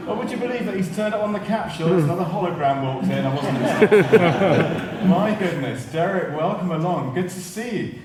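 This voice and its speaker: A man hosting a live radio show, chatty and jovial, with a digressive way of talking. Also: on the high side. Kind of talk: live presenting and interviewing before an audience. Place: large radio theatre with live audience. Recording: very echoey theatre, far from mic, faint noise.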